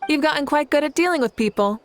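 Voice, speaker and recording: A woman's voice: sits high, animated, quick pace, slight background noise. An actress playing a composed, confident young female leader in a video game, poised and wryly amused. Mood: friendly. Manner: poised, wry.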